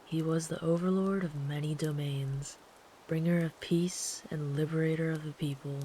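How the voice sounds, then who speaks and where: A woman playing a kind, protective traveler in an ASMR sleep-aid roleplay, speaking gently and soothingly in a hushed, partly whispered voice. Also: low voice, fairly even intonation, moderate pace, a little hiss or hum, natural voice, poor audio. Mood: hushed, storytelling. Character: kind, protective traveler comforting a runaway by a campfire.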